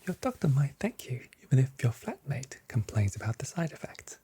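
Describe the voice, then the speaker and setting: ASMR shop assistant: hushed, very breathy whisper, mellow tone, gently playful selling. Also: medium pitch, very animated, fairly quick. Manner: gently playful sales patter. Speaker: ASMR performer playing a grocery shop assistant. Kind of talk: whispered, soft-spoken ASMR shop assistant role play.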